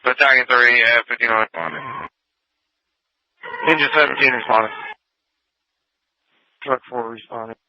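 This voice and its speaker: A man's voice: medium pitch, steady tone, normal speed, long pauses, pushed and bright, no echo, dull audio. A man working as a firefighter, giving brief status reports over two-way radio. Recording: band-limited emergency scanner radio.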